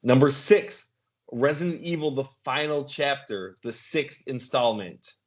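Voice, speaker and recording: A man with a medium-pitched voice hosts a podcast game. He speaks casually, in the manner of an informal quizmaster. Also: animated, unhurried. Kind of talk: casual quiz hosting.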